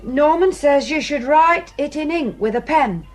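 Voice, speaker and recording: A woman's voice: sits high, lively intonation, unhurried, faint music behind. An actress playing a polite, wary but stubborn working-class tenant woman in a radio drama, with a Northern English accent. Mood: quietly stubborn.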